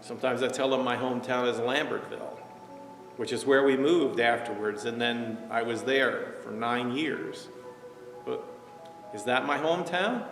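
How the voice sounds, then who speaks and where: A man in his sixties, a pastor from New Jersey, giving a reflective, conversational sermon from an armchair, sometimes dropping to a soft hush. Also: medium pitch, moderate pace. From New Jersey, USA. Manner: reflective, gestures while talking, drops to a soft hush at key points. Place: living room, seated in armchair. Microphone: far camera. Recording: roomy echo, distant mic, faint music.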